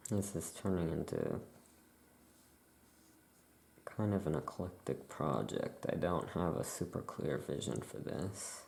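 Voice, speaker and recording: Soft asmr witch shopkeeper roleplay, low mellow voice, creaky, chill and unhurried musing. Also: lively intonation. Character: laid-back Salem witch shopkeeper chatting with a customer. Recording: faint background noise.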